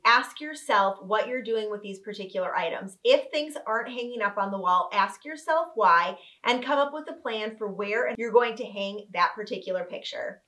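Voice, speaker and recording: This is a woman in her thirties, a home organizing vlogger, sitting on a couch indoors and talking to the camera. Her voice sits a little high, and she explains each step clearly in an upbeat, teaching manner. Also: fairly bright tone. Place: living room with couch, indoors.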